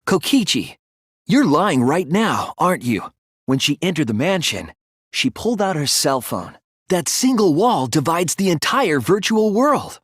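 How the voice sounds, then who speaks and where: A man playing an earnest, analytical young male detective in an English video game dub, reasoning deliberately and articulately. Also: on the high side, big pitch swings, fairly bright tone, breathy edge, no room sound, studio quality, heavily acted. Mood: urgent, insistent. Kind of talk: English game dub, dramatic courtroom deduction.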